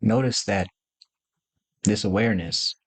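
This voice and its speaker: A man leading a guided meditation, giving gentle instructions in short, spaced phrases as a teacher. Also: lowish voice, unhurried, slightly rough, dry recording.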